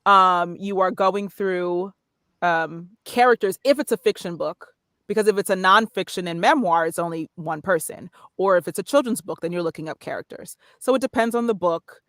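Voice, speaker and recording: A woman's voice: fairly low pitch, normal speed, a bit bright, dry recording, clean studio sound. A woman speaking casually as an interview guest, explaining things in a conversational way. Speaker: podcast guest, voice-over artist and narrator.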